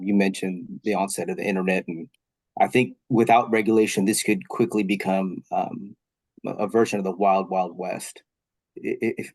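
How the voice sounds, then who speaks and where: Man, slightly nasal, under the weather, lowish voice, dry sound, video call panel answer, measured and hedging. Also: a little mellow, no echo. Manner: measured, hedging with frequent fillers and restarts. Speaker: state technology official on a panel.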